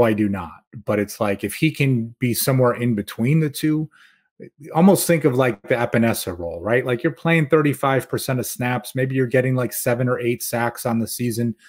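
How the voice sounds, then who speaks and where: A man's voice: mid-range voice, darkish tone. A man giving casual sports analysis as a podcast guest, explaining his points conversationally.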